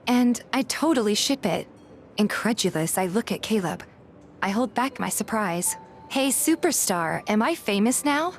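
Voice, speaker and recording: A woman narrates a scripted romantic story in the first person, reading both description and dialogue. Her pitch sits in an average female range, and music plays behind her. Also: animated, normal speed. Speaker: narrator and voice actor of a fan dub.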